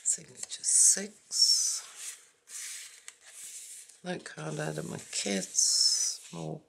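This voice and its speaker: Woman papercraft host, hoarse and croaky, slow and halting, faint noise behind. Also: low voice, fairly even intonation. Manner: quiet, halting, naming items one by one. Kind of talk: casual journal flip-through.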